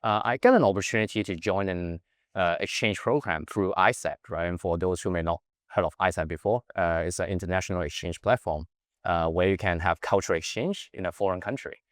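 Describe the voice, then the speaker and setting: Low-voiced man, earnest. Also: brisk, no echo, studio quality. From Hong Kong. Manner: earnest, keeps adding "right?" tags to check in with the listener. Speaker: guest, life coach. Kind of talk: reflective personal storytelling.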